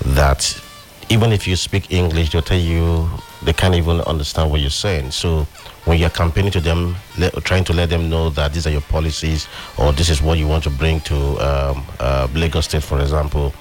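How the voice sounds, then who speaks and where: A Nigerian man with a very low voice talks on a panel show. He explains his point earnestly and at length, building the case step by step. His tone stays steady and serious. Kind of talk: studio panel discussion.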